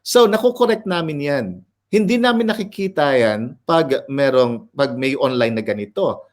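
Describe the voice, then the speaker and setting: A middle-aged man with a Filipino accent talks like a teacher giving a lecture. He explains each point in a measured way and moves between English and Filipino. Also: medium pitch, expressive. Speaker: educator guest. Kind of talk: interview answers, explanatory.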